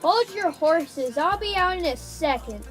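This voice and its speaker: A woman's voice: very high-pitched, animated, measured pace, faint music behind, voice pushed up. A woman voicing a cheeky young boy. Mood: musing. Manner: playful, mock-dramatic.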